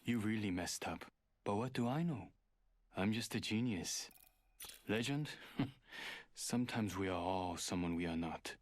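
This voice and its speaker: Man playing a cold, low-voiced hacker fighter. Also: unhurried, long pauses, no room sound, natural voice. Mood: cold, condescending. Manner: terse, superior, serious. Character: guarded, arrogant male hacker fighter, coldly menacing. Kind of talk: video game character voice lines.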